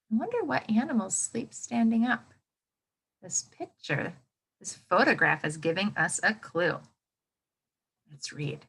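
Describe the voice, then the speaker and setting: A woman teacher reading a nonfiction book aloud to children, expressively, thinking out loud and putting questions to her listeners. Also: mid-range voice. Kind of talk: interactive children's nonfiction read-aloud lesson.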